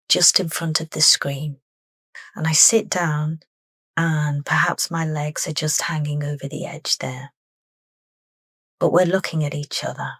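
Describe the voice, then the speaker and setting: Female meditation narrator: low register, soft and deliberate, dry studio-like sound. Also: slightly rough, dry recording. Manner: soft, unhurried, deliberate phrasing. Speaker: meditation guide.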